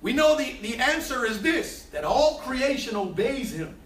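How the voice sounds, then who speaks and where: A man in his thirties with a New York accent, preaching a loud sermon on a church stage into a handheld mic and calling on the congregation. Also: very high voice, sing-song, a little slow, a little hiss or hum. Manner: shouting, preaching with call-and-response. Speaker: pastor. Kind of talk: energetic sermon. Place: church stage, large room. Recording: echoey hall from far off.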